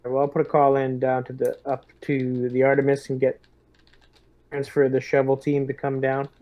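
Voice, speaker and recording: A man in a tabletop roleplaying game, speaking as a lieutenant in deliberate, hesitant military talk. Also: medium pitch, completely flat delivery, a little mellow.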